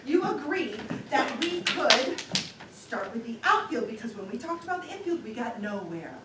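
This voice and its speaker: A woman's voice: on the high side, sing-song, moderate pace. A woman playing a polite, earnest newspaper reporter who asks insistent, confused questions in a stage comedy routine. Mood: exasperated. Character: polite, increasingly confused female newspaper reporter, new to baseball. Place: small-town theater stage. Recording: distant mic in echoey theater, noisy.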